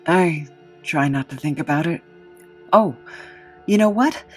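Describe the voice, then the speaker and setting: A woman's voice: sits low, expressive, a little slow, soft background music. A woman playing a quirky, self-loathing drifter with a rambling, sardonic, conversational delivery in an audio drama. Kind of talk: naturalistic audio drama acting.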